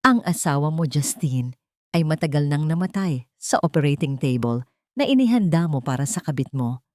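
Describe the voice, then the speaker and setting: A woman voicing a calm, cold wronged wife with measured delivery in an audio drama story. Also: sits low, dry recording.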